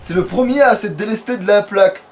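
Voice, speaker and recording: High-pitched man swearing through gaming commentary. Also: brisk. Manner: crude, heavy swearing. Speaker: let's player. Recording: faint background noise.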